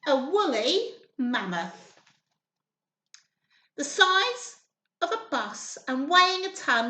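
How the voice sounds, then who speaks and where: A woman reading a story aloud to small children in an expressive, encouraging way, often asking questions. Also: extremely high pitch. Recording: roomy echo, faint background noise.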